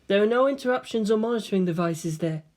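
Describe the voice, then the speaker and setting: Casting: calmly commanding young girl played by a woman, soft-spoken and formal, high-pitched, for a dramatic story reading. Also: natural voice. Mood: solemn, resolute. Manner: formal, measured phrasing.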